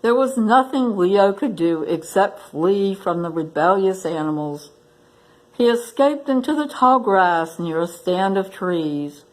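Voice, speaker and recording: Unhurried, lively woman reading a children's story. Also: medium pitch, low-quality recording. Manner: deliberate, animated storytelling. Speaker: library staff story reader. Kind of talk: expressive children's picture book read-aloud.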